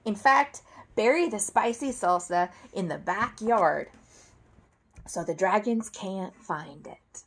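A woman in her thirties reading a children's picture book aloud at home, expressively, with theatrical emphasis and deliberate phrasing. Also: mid-range voice. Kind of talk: animated read-aloud to children. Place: indoor room at home, seated at a table.

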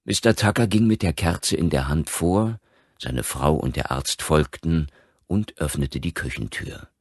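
A man's voice: extremely low pitch, a little slow, no echo. A man reading a scripted horror story aloud as a dramatic audiobook narrator.

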